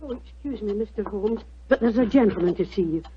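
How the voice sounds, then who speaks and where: An actress playing a deferential London housekeeper in a 1940s radio drama. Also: female voice, mid-range voice, expressive, a little fast, breathy edge. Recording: dull, lo-fi vintage radio recording.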